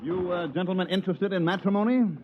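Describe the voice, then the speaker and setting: A man's voice: sits high, fast, a little hiss or hum, natural voice. Actor as brash American con man, muffled old radio. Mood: smooth salesman. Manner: slangy, smooth-talking salesman patter. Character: brash, fast-scheming con man and promoter on Mars. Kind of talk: 1950s radio drama acting with first-person narration. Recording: muffled 1950s radio recording with music.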